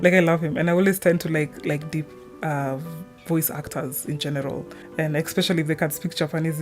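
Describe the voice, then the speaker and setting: A woman talking casually in a rambling, giggly commentary, often halting and cutting herself off mid-thought. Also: lowish voice. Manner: chatty, halting, self-interrupting, giggly. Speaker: video creator.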